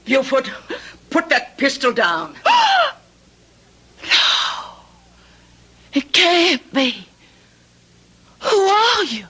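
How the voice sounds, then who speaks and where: An actress playing a frightened but feisty young bride held captive, melodramatic and indignant in a radio drama. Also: female voice, high voice, sing-song, drawn-out words, pauses often, pushed and bright, full character voice. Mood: shocked, indignant. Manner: breathy gasps, indignant, melodramatic.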